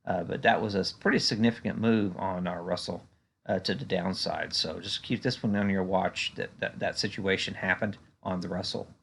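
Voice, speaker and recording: Male analyst, low gravelly voice, conversational and halting, talking through charts off the cuff. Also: steady tone, slight background noise. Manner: conversational, hesitant, frequent "you know". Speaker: stock market analyst and video host. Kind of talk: unscripted market chart commentary.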